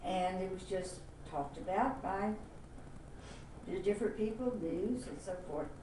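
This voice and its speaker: A woman's voice: mid-range voice, animated, unhurried. An older woman from Miami, Florida, plainly recalling her youth in an oral history interview. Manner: plain-spoken, reflective. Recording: echoey room, mic at a distance, faint hiss.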